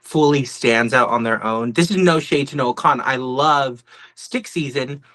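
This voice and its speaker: A man's voice: medium pitch, moderate pace, a bit bright. A man hosting a podcast, chatting enthusiastically with his guests. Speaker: podcast host. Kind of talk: enthusiastic interview chat.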